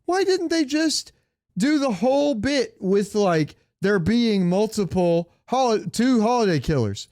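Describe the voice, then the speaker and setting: A man's voice: sits high, sing-song, unhurried, a little mellow, dry recording, clean studio sound. A man co-hosting a podcast, chatting casually with informal, opinionated, sweary talk. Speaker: podcast co-host. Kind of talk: casual chat about comic book movies.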